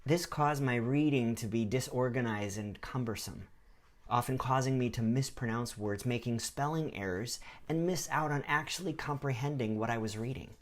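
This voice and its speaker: A man presenting a scripted educational video in the first person, with an expressive, explanatory delivery. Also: medium pitch, normal speed. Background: background music.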